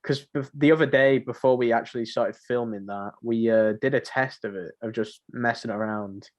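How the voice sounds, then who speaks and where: A man's voice: medium pitch, moderate pace. A young man chatting casually as a podcast co-host, rambling and often repeating his phrases. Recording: dull lo-fi voice mic.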